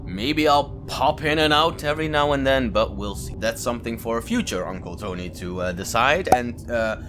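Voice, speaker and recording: A man's voice: medium pitch, moderate pace, over loud music. A man who is not a native English speaker, hosting a let's-play with informal, chatty commentary, jokey asides and some reading aloud. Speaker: gaming video host.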